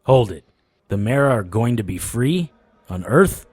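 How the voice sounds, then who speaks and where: An actor playing a wry, tough supernatural private investigator in a noir audio drama, with a deadpan, sardonic delivery. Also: male voice, mid-range voice, a little slow, no echo. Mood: incredulous.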